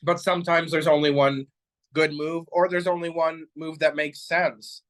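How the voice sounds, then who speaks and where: A man co-hosting a casual chess stream, easygoing, explaining calmly and giving short replies. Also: a little high, normal speed. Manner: easygoing, brief affirming replies. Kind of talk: casual chat.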